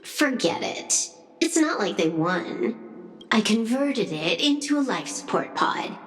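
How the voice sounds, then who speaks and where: A woman's voice: fairly high pitch, sing-song, takes it slowly, reverberant, in a large hall, faint music behind. An actress playing a cool, wry young female hacker in a video game, with a dry, teasing, laid-back delivery. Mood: dry, casual. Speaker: game character voice actor. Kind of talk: video game dialogue acting.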